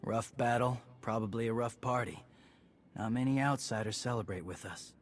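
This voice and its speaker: A man's voice: mid-range voice, normal speed, soft background music, lightly acted. A man playing a young clan leader who speaks in short, clipped, tired sentences. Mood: terse. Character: young male clan leader, weary, honor-bound.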